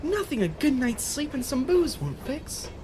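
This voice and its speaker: A woman voicing a chipper, shady male character in a dark parody of a children's show, polite and singsong. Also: high-pitched, very animated, normal speed, noisy background. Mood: helpful. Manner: cheerful, polite, singsong kids' host delivery.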